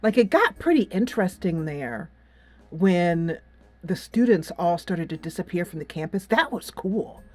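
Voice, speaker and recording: A middle-aged woman giving a casual, giggly video game review, animated and emphatic, drawing out words for effect. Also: lowish voice, unhurried.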